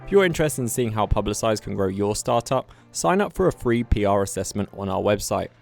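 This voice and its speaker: Creaky male podcast promo announcer. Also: fairly low pitch, animated, brisk. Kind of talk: scripted podcast advert. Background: guitar music.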